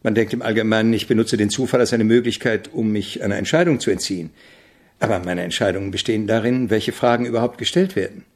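An actor playing a polite, exacting avant-garde composer in a radio drama, speaking in a measured, questioning, instructive manner with a husky voice. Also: male voice, on the low side, fairly even intonation, close to an everyday voice. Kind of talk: German radio drama acting.

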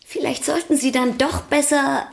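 Sceptical sister, radio drama: higher pitch, pointed and dry, roomy acoustic. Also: female voice, steady tone, normal speed, vocal fry. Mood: prim, challenging. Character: sharp, sceptical, strict sister of a musician. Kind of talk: German radio play acting.

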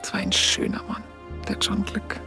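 An actress playing a tender, smitten woman who recalls her lover in a soft, breathy, confiding voice. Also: moderate pace, close to an everyday voice. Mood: tender, wistful. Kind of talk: intimate radio play monologue. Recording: studio radio drama with soft music.

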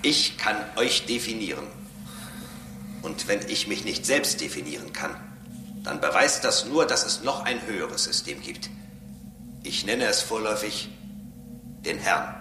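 An actor in a radio drama playing a calm, condescending robot who preaches solemnly and pedantically about serving a higher master. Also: male voice, measured pace, pauses often, background noise. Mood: dismissive, certain. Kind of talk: German radio drama acting. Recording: roomy echo, music bed behind.

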